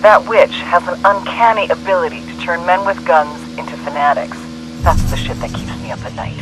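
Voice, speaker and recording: An actor playing a hard-bitten intelligence handler giving a blunt, grim mission briefing in a game. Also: male voice, sits high, fairly quick, fairly bright tone, poor audio. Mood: grim, urgent. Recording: faint vehicle or radio noise.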